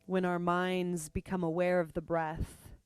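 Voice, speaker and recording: A woman leading a guided meditation as a teacher, speaking gently and unhurriedly with pauses between phrases. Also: lowish voice, level tone, clean tone. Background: music.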